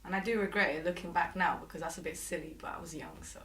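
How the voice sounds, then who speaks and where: A woman in her early twenties, born and raised in Portugal with Portuguese as her first language, answering interview questions in a relaxed way with easy laughs. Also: lowish voice, roomy sound. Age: 20s. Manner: relaxed, laughs easily, thoughtful pauses. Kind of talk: casual interview.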